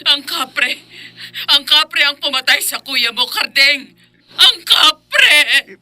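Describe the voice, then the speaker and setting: A grieving mother in a radio melodrama. Her voice is high and very sharp, full of sorrow, with faint music behind. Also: lively intonation, slow pace, exaggerated voice. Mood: anguished. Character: grieving provincial mother of grown sons. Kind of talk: melodramatic radio drama acting.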